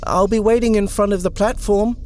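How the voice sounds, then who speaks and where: A polite young woman in a storybook, pronouncing each word distinctly. Her voice sits high and bright, a man putting her on with a raised pitch. An edge of impatience stays close under her courtesy. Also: fairly even intonation, brisk, slight background noise, natural voice. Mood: polite, earnest. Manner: distinct, careful speech.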